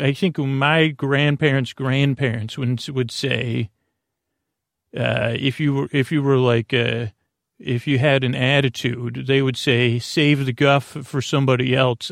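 A man hosting a sleep podcast, with a creaky, gravelly voice, rambling in a meandering, halting monologue that often stops and restarts. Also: mid-range voice, dry recording, studio quality. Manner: meandering, halting, self-deprecating.

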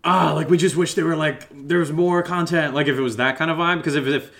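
A man's voice: a little high, fairly even intonation, brisk, creaky, fairly bright tone. A man co-hosting a podcast in a casual, unscripted chat, informal and hesitant, peppering sentences with "like". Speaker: podcast co-host.

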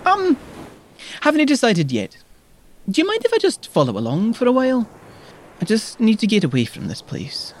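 A defiant young Scottish shepherd, played by a woman, stubborn and anxious as a reluctant prophet. The delivery is lively, with big swings in pitch, in a comedic audio drama. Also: moderate pace, pauses often, a little hiss or hum. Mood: anxious, pleading. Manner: defiant, exasperated.

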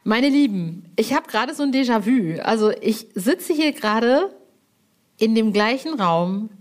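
A woman's voice: on the high side, lively intonation, a little slow. Playful, chatty woman podcast host. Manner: playful, effusive, colloquial. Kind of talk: chatty, informal podcast presenting.

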